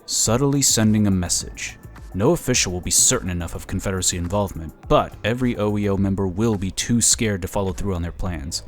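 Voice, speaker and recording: Man playing a smug, low-voiced political schemer. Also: lightly acted. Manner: chatty, smooth-talking, condescending. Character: smug, slick, scheming male political lobbyist. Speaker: voice actor in an audio drama. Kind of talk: sci-fi audio drama acting.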